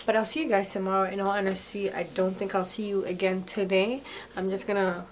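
A woman in her twenties chatting casually in her kitchen for a home vlog, chatty and excitable with frequent laughs. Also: mid-range voice, brisk, a little hiss or hum, low-quality recording. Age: 20s. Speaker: vlogger.

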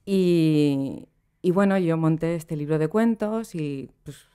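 A middle-aged woman, a poet, talking in a relaxed and reflective way as a podcast guest, laughing easily between thoughts, recorded in a small studio room. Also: low-pitched, slow, clean tone. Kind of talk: relaxed conversational interview. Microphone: studio microphone on boom arm.

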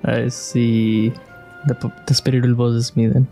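A man's voice: medium pitch, level tone, normal speed, creaky, dark tone. A man giving casual playthrough commentary, musing aloud in short reactive remarks as he plays. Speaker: gamer playing and reacting. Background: video game music.

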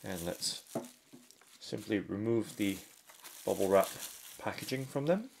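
A man giving unhurried, step-by-step product unboxing commentary indoors at a tabletop. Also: lowish voice, animated, creaky, darkish tone, low-quality recording. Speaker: product reviewer. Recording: faint handling and crinkling noise. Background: faint clicks and packaging crinkle.

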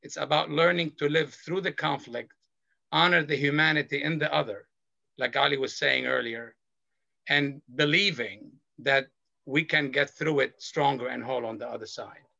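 A middle-aged man from Lebanon, a panelist sharing a personal story in a slow, earnest, measured way over a video call. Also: a little high, steady tone, gravelly, sharp tone, no room sound. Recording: dull video call audio.

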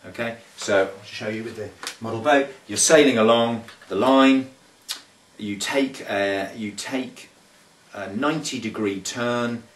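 A man's voice: medium pitch, a little slow, a little hiss or hum. A man in his thirties giving casual sailing tips to camera, thoughtful and hesitant, with many fillers and restarts, recorded indoors in a small room on the camera mic. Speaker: sailing coach. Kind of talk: casual instructional talk to camera. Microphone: camera mic from a few feet away. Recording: roomy, distant camera audio.

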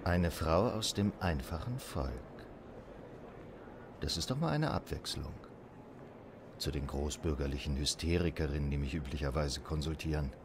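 An actor playing an urbane, self-assured psychoanalyst in a period audio drama, with a courteous, formal and slightly condescending manner. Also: male voice, very deep voice, lively intonation, moderate pace, noisy background. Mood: dryly amused. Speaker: psychoanalyst character in a radio drama. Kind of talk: German audio drama acting.

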